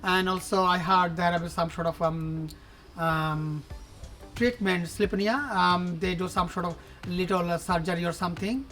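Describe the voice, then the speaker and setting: A man in his forties answering interview questions casually, halting and searching for words with many fillers. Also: high voice, fairly even intonation, bright, forceful tone, soft background music. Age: 40s.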